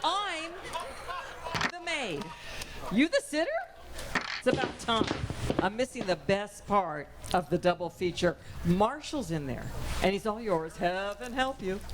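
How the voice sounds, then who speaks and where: A woman's voice: medium pitch, very animated, unhurried. A woman acting a blunt, impatient housemaid with curt, slangy talk in a stage radio comedy. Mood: impatient, grumbling. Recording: very noisy live stage.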